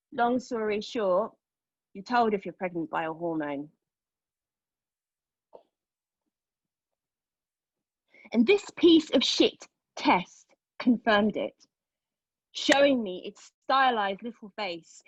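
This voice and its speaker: A woman playing a bitter, sardonic pregnant woman in a dramatic monologue, with an intense, deliberate delivery and a husky voice. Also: fairly high pitch, no room sound. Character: anguished, bitter, sardonic pregnant woman telling her story. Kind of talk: dramatic monologue at a table read. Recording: slightly dull, lo-fi sound.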